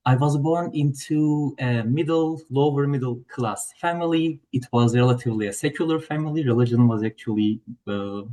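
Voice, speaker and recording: A man's voice: medium pitch, moderate pace, few pauses, dark tone, poor audio. Turkish guy in his 40s on a call, earnest and thoughtful, bit of dry humor. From Turkey. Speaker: guest scholar. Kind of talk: interview answers. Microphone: video call.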